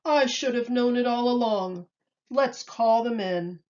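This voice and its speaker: A woman's voice: a little high, a little slow, darkish tone, pitched above the performer's own voice, close to an everyday voice. Woman playing bossy zoo keeper man, dull recording. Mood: decisive. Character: bossy adult male zoo keeper, head of the crew.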